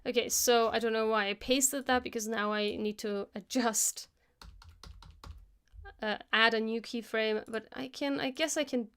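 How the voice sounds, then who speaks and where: High, clear-voiced woman thinking aloud. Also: fairly even intonation, unhurried, fairly bright tone. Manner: casual, chatty. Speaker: host of an animation live stream. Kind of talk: live software tutorial, thinking aloud.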